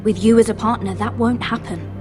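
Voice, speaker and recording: A woman's voice: fairly high pitch, fairly quick, long reverb tail, over music, natural voice. An actress playing a poised, determined young noblewoman in a fantasy game, formal and courteous in manner. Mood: earnest, careful. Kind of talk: dramatic video game dialogue.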